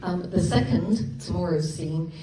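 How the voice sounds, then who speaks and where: A woman's voice: on the low side, measured pace, crowd noise. A woman author with a Gloucestershire accent reading from her novel at a live event, chatty and self-deprecating with frequent ums. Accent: Gloucestershire English. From England. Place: event hall with a live audience. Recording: echoey live hall from far off with audience.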